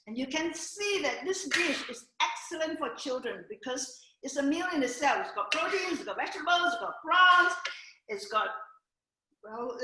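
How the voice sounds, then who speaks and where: An older woman giving a playful, joking cooking demonstration over a video call. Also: sits high. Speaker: guest cook. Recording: echoey room with clear background noise.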